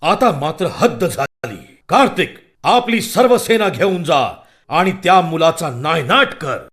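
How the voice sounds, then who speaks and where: A mighty god of the mountains played by a man for a children's mythological cartoon. He is wrathful and imperious, giving orders slowly and dramatically in a fairly high, animated male voice. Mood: furious. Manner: commanding, imperious, slow dramatic delivery.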